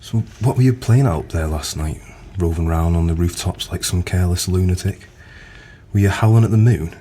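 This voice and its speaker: An actor playing a gruff but kindly pub landlord in an audio drama, weary and plain-spoken. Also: male voice, deep voice, normal speed, mellow, a little hiss or hum, lightly acted. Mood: scolding.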